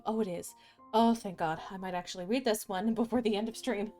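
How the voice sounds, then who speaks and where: A woman streamer reading fairy tales aloud, moving between casual, candid chat and expressive storybook narration. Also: mid-range voice, fairly quick, soft background music. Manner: expressive, informal, candid asides.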